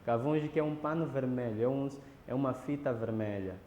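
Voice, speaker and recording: Angolan man, documentary interviewee, mellow, reverberant room. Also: medium pitch, dull audio. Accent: Angolan Portuguese. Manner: measured, pauses with fillers and restarts. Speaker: interviewee explaining a ritual. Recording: reverberant room, faint background noise.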